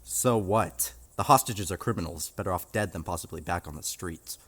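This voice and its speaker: An actor playing a callous federal agent who speaks coldly and dismissively. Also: male voice, low voice, moderate pace. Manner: cold, flat, dismissive.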